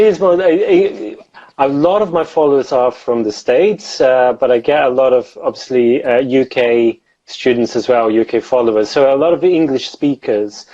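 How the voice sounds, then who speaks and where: A man's voice: mid-range voice, warm tone, highs cut off. A man from southern Brazil, a native Portuguese speaker, co-hosting a casual live chat as a Portuguese teacher, easygoing and friendly. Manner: friendly, easygoing, with fillers and restarts. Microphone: webcam or phone on a video call.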